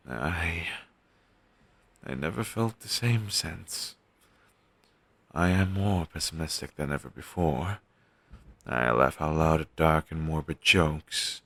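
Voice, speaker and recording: A man playing a pessimistic zombie best friend with dark sarcasm, speaking haltingly in an intimate audio roleplay. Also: rumbling low, creaky, no room sound, heavily acted. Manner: halting, sighing, sardonic delivery with trailing hesitations.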